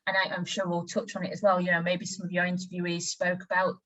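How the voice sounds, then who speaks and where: A woman's voice: on the low side, monotone, fast talker, fairly bright tone. A woman from West Yorkshire with a Yorkshire accent, an interviewer sharing a personal story frankly in conversation. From West Yorkshire, England.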